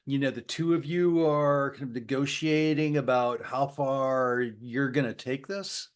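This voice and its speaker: A man's voice: mid-range voice, fairly even intonation, unhurried, clear, resonant tone. A man interviewing an actor about her film, asking friendly questions with frequent fillers. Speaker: interviewer, film site host.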